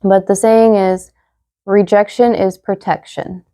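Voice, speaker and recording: A woman's voice: medium pitch, little pitch movement, slow, dark tone, no room sound, studio quality. Woman tarot reader losing her voice. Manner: chatty, many fillers, reads card messages aloud. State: losing her voice, slightly hoarse. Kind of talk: casual tarot reading to viewers.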